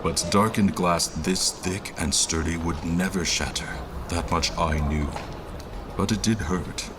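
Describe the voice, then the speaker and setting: A man playing a weary, dignified nobleman traveler in an audio drama, narrating his journey in formal, measured, old-fashioned phrasing. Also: deep voice, fairly even intonation, noisy background. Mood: reflective. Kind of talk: scripted first-person story narration.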